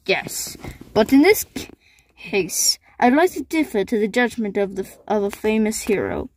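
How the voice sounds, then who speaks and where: A woman's voice: mid-range voice, very animated, normal speed, darkish tone. A woman voicing a polite, fair-minded village leader in an amateur cartoon comic dub, formal and earnest. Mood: earnest, pleading.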